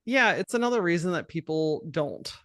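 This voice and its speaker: A woman with a low voice talking bluntly and directly as a podcast guest, cussing freely and laughing often. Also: expressive, moderate pace, a bit bright, no echo, studio quality. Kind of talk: casual podcast conversation.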